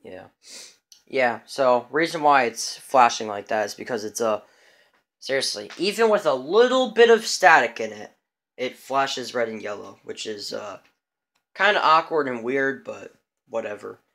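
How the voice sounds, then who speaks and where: A man's voice: medium pitch, expressive, unhurried, gravelly, a bit bright. Casual, rambling man showing off gear. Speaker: vlogger showing a radio collection. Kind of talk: casual show-and-tell. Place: indoors in a room at home.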